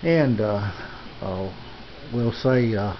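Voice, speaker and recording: A man giving a casual, rambling hobby video tour in a halting, folksy way, speaking from behind a handheld camera in a small room. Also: lowish voice, expressive. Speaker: amateur radio hobbyist presenting his equipment. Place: small indoor home radio room. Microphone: handheld camera's built-in mic, behind the lens. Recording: dull lo-fi camera audio, noisy room.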